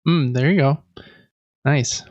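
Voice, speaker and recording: A man's voice: medium pitch, slow pace, a little mellow, no room sound, lo-fi sound. An American man hosting a podcast in a casual, chatty style. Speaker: podcast host.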